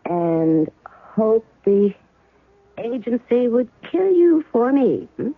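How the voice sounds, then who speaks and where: Sharp, husky-voiced heroine; animated delivery; tinny 1970s radio sound with faint music. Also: female voice, a little high, takes it slowly, exaggerated voice. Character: shrewd woman caught in spy intrigue. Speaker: narrator and heroine. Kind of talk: 1970s radio drama acting.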